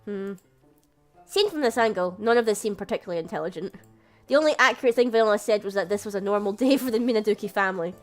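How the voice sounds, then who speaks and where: A woman's voice: medium pitch, fast talker, soft background music, pitched below the performer's own voice, natural voice. A woman reading the part of a wry, exasperated bakery owner in a visual novel.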